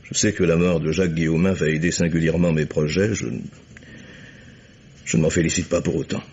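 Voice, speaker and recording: Radio mystery suspect: proud engineer, very low husky voice, formal and guarded, muffled sound. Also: male voice, fairly even intonation, darkish tone, exaggerated voice. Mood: cold calm. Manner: formal, measured, clipped declarations. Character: proud, coldly calm, guarded male chief engineer. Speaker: actor in a radio crime drama. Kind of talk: French radio mystery acting. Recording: muffled old radio recording.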